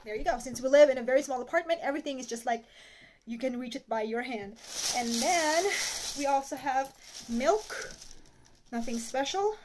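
A woman in her thirties talks casually in a high, bright voice while unpacking groceries in a cramped kitchen. She is recorded on a phone camera close by. The sound is noisy and home-made. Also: normal speed. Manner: chatty, holds items up to the camera. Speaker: vlogger. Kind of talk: casual chat. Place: small apartment kitchen, indoors. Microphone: phone camera at arm's length.

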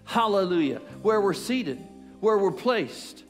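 Older Southern pastor praying fervently. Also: male voice, high voice, lively intonation, takes it slowly. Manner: fervent, repeats praise phrases. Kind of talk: prayer and sermon. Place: large church stage. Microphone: podium mic. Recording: long hall reverb with music.

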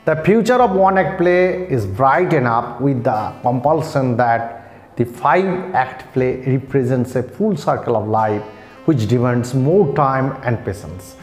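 A man in his forties with an Indian Bengali accent delivers a scripted literature lecture in a formal, deliberate, halting way. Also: medium pitch, lively intonation, soft background music. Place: small bare-walled indoor room. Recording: echoey room.

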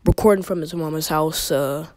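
A man's voice: fairly high pitch, normal speed, darkish tone, no room sound, studio quality. A young male gaming YouTuber giving a rambling, joking intro to his video, talking casually with lots of filler words. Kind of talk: casual self-filmed intro.

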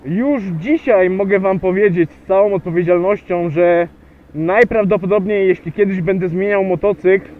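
A man's voice: high-pitched, normal speed. A man riding a motorcycle, chatting casually to viewers with many hesitations and fillers. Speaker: motovlogger. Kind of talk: casual riding vlog narration. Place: on a motorcycle riding on a road outdoors. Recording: tinny lo-fi helmet mic with wind and engine noise.

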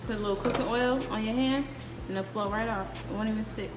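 A woman's voice: on the high side, fast talker. Playful young woman in echoey kitchen. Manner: playful, giggly, sing-song. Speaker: cook in a cooking video. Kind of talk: casual chat. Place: home kitchen. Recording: echoey kitchen with loud music.

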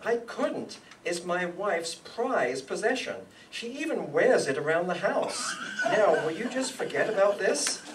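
A man's voice: high voice, big pitch swings, a little slow. An older man playing an anxious, scheming married businessman in a radio play, earnest and pleading. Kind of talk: old-time radio acting before an audience. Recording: live stage pickup, distant, background noise.

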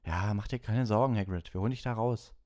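A man's voice: lowish voice, quick pace, darkish tone, pitched above the performer's own voice, lightly acted. A man voicing a loyal, grumbling schoolboy in a storybook, with a casual, emphatic way of talking. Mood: reassuring.

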